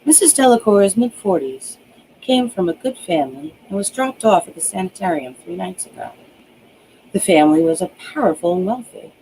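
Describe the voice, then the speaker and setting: A woman's voice: lowish voice, lively intonation, brisk, mellow, slight background noise, low-quality recording. A woman voicing a composed, professional woman psychiatrist in a storybook reading, with a clinical, questioning manner. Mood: clinical, puzzled.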